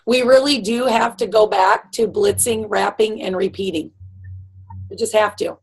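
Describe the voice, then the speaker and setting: A woman hosting a video call, encouraging and emphatic, her voice raspy. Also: medium pitch, moderate pace, rough recording. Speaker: team leader hosting the call. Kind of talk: casual motivational chat. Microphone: computer mic on a video call.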